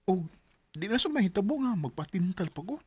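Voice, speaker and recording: A man's voice: sits high, very animated, brisk, breathy edge, dry recording, exaggerated voice. An actor playing an unfaithful man with three children who makes defensive excuses in a radio drama. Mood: pleading.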